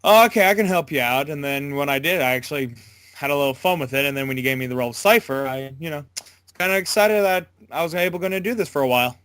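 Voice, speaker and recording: A man with a bright, slightly high voice chats casually as an interview guest. He stammers and doubles back on his sentences. The sound is close and dry. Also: lively intonation, clean tone, no echo. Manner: stammering, halting, backtracking. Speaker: interview guest, amateur voice actor. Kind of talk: casual chat.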